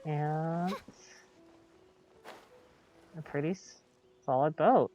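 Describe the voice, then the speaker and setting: Low-voiced woman streamer, muffled mic. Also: extremely slow, slight background noise. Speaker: game streamer. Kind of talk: reading game choices aloud and casual reacting. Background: faint game music.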